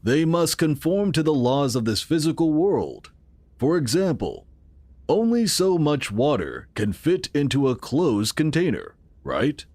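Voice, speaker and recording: An actor playing the earnest, calm founder of a futuristic city in a sci-fi audio drama, explaining things in a measured, formal way. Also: male voice, fairly high pitch, no room sound. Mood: patient, explanatory.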